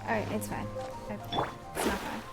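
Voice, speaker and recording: A woman giving casual gameplay commentary, thinking aloud in short reactions as she streams. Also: fairly quick. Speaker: game streamer. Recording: game music behind the voice.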